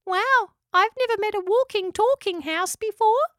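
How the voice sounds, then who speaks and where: Squeaky little echidna girl. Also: moderate pace, a bit bright, no echo, clean studio sound. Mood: polite, eager. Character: small shy female echidna, polite and grateful.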